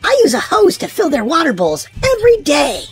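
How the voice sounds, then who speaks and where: A woman reads a children's storybook aloud in an expressive, sing-song way, leaning on key words for young listeners. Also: sits high, fairly quick, over music.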